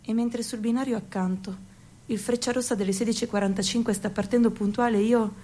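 High-voiced woman in a dramatic radio monologue. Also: fairly quick. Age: young adult. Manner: expressive, shifting between whispers and loud declamation. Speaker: actress performing a theatrical monologue.

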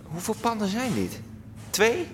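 An actor playing an awestruck, cheeky hippie squatter in a Dutch radio drama, laid-back and marvelling. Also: male voice, high voice, huge pitch swings, a little slow, fairly bright tone, slight background noise, heavily acted. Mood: amazed, cheeky.

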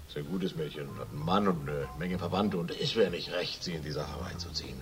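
An actor playing a bulky, evasive middle-aged racehorse owner and former miner in a radio crime drama, hesitant and self-justifying. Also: male voice, rumbling low, faint music behind. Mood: nervous, insistent. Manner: hesitant, halting, self-justifying, with stumbling fillers.

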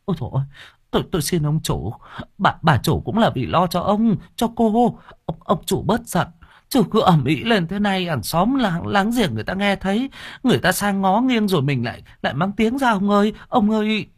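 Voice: mid-range voice, very animated, normal speed, slightly rough, pitched above the performer's own voice. A storyteller voicing a timid, deferential old housemaid who stammers and pleads. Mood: pleading.

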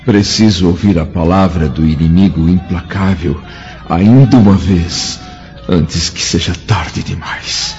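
Embittered senator: very low, slow and brooding, for a historical radio serial. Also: male voice. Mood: grim urgency. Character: grave, weary, embittered Roman senator bent on vengeance. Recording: dull lo-fi radio drama, faint music.

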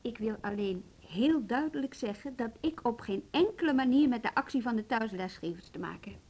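An actress playing a composed, self-possessed woman in a naturalistic radio drama, talking calmly and conversationally. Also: a little high, brisk, slightly rough. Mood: guarded.

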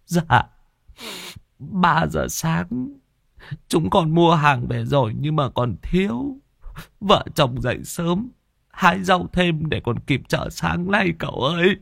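A man's voice: expressive, slow pace, creaky, a bit bright, no echo, studio quality, heavily acted. A man voicing a frantic, weeping villager who begs for help. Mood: tearful. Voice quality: high, tearful voice. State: crying.

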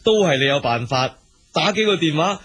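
An actor playing a meek, polite, henpecked husband in a radio drama, speaking hesitant, deferential Hong Kong Cantonese. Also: male voice, fairly high pitch, animated, normal speed, a bit bright, slightly rough. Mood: sheepish.

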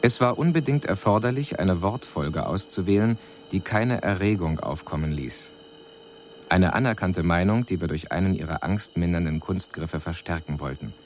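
An actor playing a detached extraterrestrial envoy in a radio drama, reporting on humans in measured, clinical, formal phrases. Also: male voice, sits low, a little mellow, close to an everyday voice. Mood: detached, analytical. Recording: faint hiss on 1980s radio production.

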